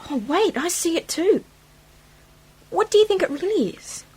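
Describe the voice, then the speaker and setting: A woman's voice: very high voice, animated, moderate pace, a little mellow. A woman playing a questioning, easily exasperated young companion to her uncle in an amateur science-fiction radio play. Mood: insistent. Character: inquisitive, headstrong niece, a Martian historian companion. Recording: noisy home-made radio play recording.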